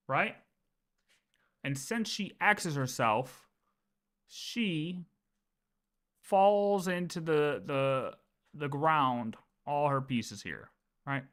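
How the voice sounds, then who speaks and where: A man livestreaming in a casual, animated way, talking through lore with viewers, repeating phrases and often checking that they follow. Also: a little high. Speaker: streamer.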